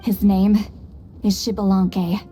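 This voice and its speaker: A woman's voice: mid-range voice, level tone, takes it slowly, dark tone, soft background music, natural voice. A woman voicing a proud, fretful young-sounding shaman in a video game, dramatic, self-important and easily flustered. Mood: solemn, self-important. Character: small, proud, fretful female shaman, ancient but youthful-sounding.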